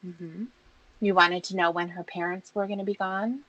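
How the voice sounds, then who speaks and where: A woman's voice: fairly low pitch, steady tone, normal speed, sharp tone, no echo, low-quality recording. A woman questioning someone in a pressing, accusatory and insistent manner. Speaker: interrogator. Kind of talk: confrontational questioning.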